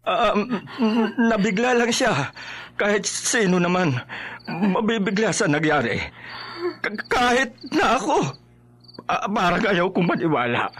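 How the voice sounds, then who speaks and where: A man's voice: sits high, expressive, unhurried, a bit bright, heavily acted. A man acting in a Filipino horror radio drama as a superstitious, anxious elderly father who speaks in a dramatic, hesitant way. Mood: shaken, conciliatory. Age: older.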